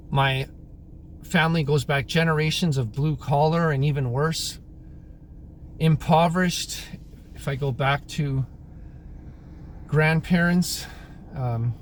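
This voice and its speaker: A man in his thirties, originally from Croatia, talking through his opinions in a casual monologue, pausing often and clearing his throat. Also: fairly high pitch, slight background noise. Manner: halting, frequent throat clearing and restarts. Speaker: vlogger. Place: in Alberta, Canada.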